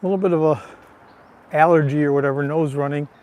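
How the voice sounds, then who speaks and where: A man with a raspy, allergy-roughened voice chatting casually to viewers while walking outdoors, recorded on a handheld phone. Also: on the high side, steady tone, brisk, darkish tone. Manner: chatty, greets viewers by name. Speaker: livestream vlogger. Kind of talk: casual live walking tour. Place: outdoors on a town street.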